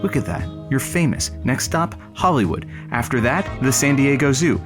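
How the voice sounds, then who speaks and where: An actor playing a genial, wry radio producer and announcer, with playful deadpan asides. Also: male voice, mid-range voice, normal speed, lightly acted. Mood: playful announcing. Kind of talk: radio announcing and audio drama banter. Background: music.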